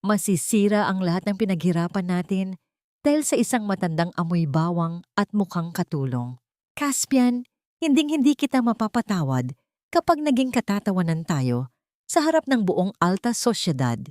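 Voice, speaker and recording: A woman voicing a furious, snobbish rich daughter-in-law, scornful and sharp-tongued, in an audio story. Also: mid-range voice, very animated, normal speed, no echo, studio quality, close to an everyday voice. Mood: bitter, threatening.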